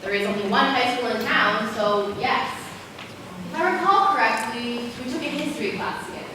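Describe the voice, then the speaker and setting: A woman acting a guarded, wry high-school girl in an amateur stage play, with a cool, teasing manner. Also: moderate pace, natural voice. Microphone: distant video camera. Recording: distant microphone, echoey noisy hall.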